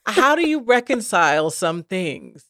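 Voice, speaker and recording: A woman's voice: mid-range voice, big pitch swings, unhurried, fairly bright tone, no echo, clean studio sound. A woman hosting a podcast, asking personal questions in an emotive, empathetic way. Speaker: podcast host. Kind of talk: casual interview conversation.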